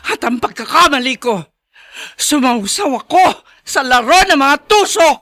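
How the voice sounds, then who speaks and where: A Filipino actress playing an anxious, doubtful politician's wife in an expressive radio drama style. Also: female voice, high-pitched, measured pace, no room sound. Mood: bitter, anguished. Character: mayor's wife, a hesitant, anxious, doubtful woman.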